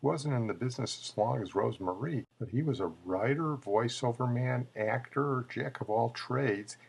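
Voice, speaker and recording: A man with a husky voice hosting a tribute show, presenting obituaries between clips. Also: mid-range voice, normal speed, poor audio. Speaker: host of a memorial tribute show.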